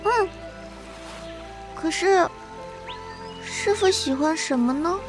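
An actress playing a willful, lively young girl disciple in a Chinese wuxia audio drama, with a cute, pouty, childlike manner. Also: very high-pitched, slow pace, music in the background, full character voice. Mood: thoughtful.

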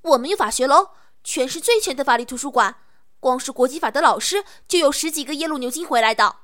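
Woman acting excitable, very high-voiced student girl. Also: fast. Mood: mock indignation. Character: excitable, cheeky young female student, gossipy and dramatic. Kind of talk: Chinese campus radio drama acting.

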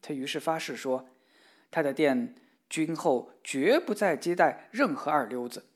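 A storyteller reading a children's fairy tale aloud in a steady, expressive way. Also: mid-range voice, unhurried.

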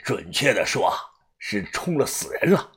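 Old male mystic, played by a male narrator: cautious, solemn, husky, with swooping, sighing delivery. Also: on the low side, big pitch swings, normal speed, fairly bright tone, voice pushed down, full character voice. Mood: grave, low. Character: grave old male fortune-telling master, cautious and superstitious.

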